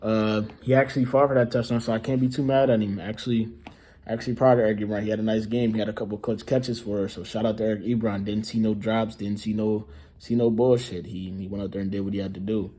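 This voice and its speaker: Tired, hoarse young man recapping a game. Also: on the low side, flat delivery, quick pace, dark tone. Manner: tired, rambling, repeats himself, lots of "you know". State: losing his voice, tired. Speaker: sports fan vlogger. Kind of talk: casual post-game recap.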